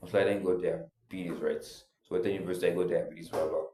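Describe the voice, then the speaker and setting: A man's voice: low-pitched, fairly even intonation, fast, roomy sound, background noise. A young adult man, telling a personal story in a casual, rambling way full of filler words. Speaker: vlogger.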